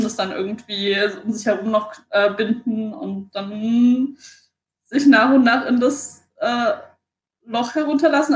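A woman playing a tabletop role-playing game, hesitantly piecing together her character's actions aloud. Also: fairly high pitch. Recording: echoey, roomy sound.

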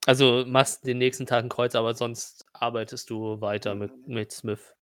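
A man's voice: mid-range voice, flat delivery, a bit bright, clear, resonant tone, dry recording. Man narrating a tabletop game, close and dry. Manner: colloquial, halting mid-sentence. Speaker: game master.

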